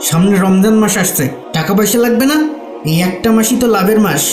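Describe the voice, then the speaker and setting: A man's voice: high voice, fast, roomy sound, over music, close to an everyday voice. A man voicing a stubborn, greedy shopkeeper husband in a cartoon, curt and dismissive. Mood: irritated. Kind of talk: cartoon voice acting in Bengali.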